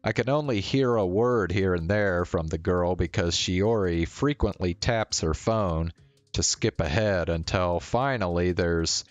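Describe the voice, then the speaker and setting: A man's voice: low voice, no room sound. A man narrating a visual novel aloud as a streamer.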